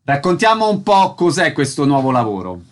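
A man's voice: fairly high pitch, big pitch swings, brisk. A man hosting a radio interview, putting questions and announcing theatre dates.

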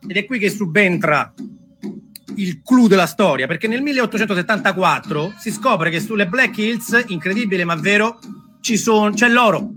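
A man's voice: high voice, lively intonation, moderate pace, pushed and bright, faint music behind. A man telling history as a theatrical monologue, emphatic, repeating himself and drawing out key words. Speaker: theatre storyteller and performer. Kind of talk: dramatised historical storytelling monologue for an audience.

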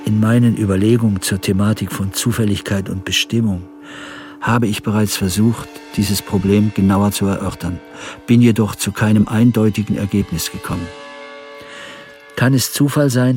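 An actor as a pedantic elderly amateur historian, music behind. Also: male voice, fairly low pitch, fairly even intonation, normal speed, a little mellow, lightly acted. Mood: pedantic, pondering. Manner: formal, meticulous, circumstantial. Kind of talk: radio drama monologue, reading out a letter.

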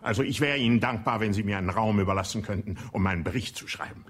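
An actor playing a shrewd police inspector in a radio play, with a husky voice, probing and ironic. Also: male voice, fairly low pitch, fast, a bit bright.

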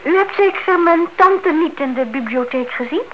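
An actress playing a brisk, caring young working woman in a radio play, chatty, polite and gently bossy. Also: very high-pitched, expressive. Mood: polite, concerned. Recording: dull, noisy old radio drama recording.

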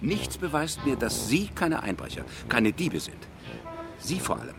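An actor playing a haughty, punctilious French physician in a German radio crime drama, pompous and formal. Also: male voice, medium pitch, very animated, measured pace, gravelly, music in the background. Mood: indignant, imperious.

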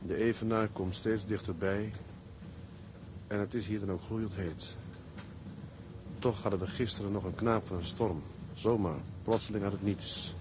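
Casting: a tender sailor narrating letters home, fairly low, intimate and measured, over clear shipboard noise. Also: male voice. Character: young seaman writing home tenderly to his sweetheart. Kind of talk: radio drama letter narration.

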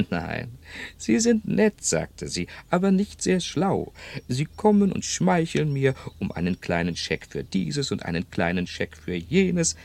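A man's voice: mid-range voice, huge pitch swings, unhurried, creaky, a little mellow, heavily acted. An actor playing a talkative, gossipy elderly man in a radio drama, chatty and theatrical, quoting others vividly. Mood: chatty, amused. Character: talkative elderly Frenchman, gossipy, fond of late neighbour.

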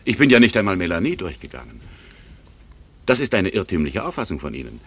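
An urbane old family friend in a 1960s-style radio drama. His voice is husky and fairly low, and his delivery is dry, with gentle irony. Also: very animated, fast talker, heavily acted. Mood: wry, self-justifying. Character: wry divorced man, old family friend about to travel. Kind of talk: 1960s German radio play acting.

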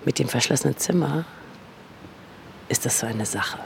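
Gravelly man narrating, mid pitch. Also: moderate pace, slight background noise. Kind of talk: first-person radio narration.

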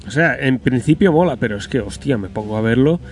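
A man's voice: medium pitch, lively intonation, fairly quick. Man, podcast co-host, blunt, skeptical, casual, faint background music. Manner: blunt, swears, skeptical, laughs often. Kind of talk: casual gaming chat.

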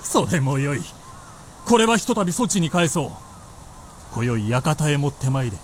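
An actor playing an imperious, amused feudal Japanese warlord in a period radio drama, with commanding, archaic, lordly speech. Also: male voice, fairly high pitch, very animated, normal speed, slight background noise.

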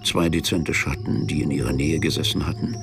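A man narrating a literary radio drama in a measured, deadpan manner. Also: very deep voice. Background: music behind the voice.

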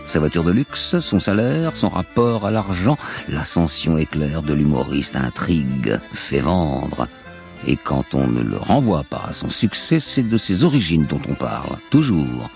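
A man with an extremely low, deep voice tells a scripted radio documentary in a measured, storytelling tone. Soft music sits under his narration. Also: very animated, creaky.

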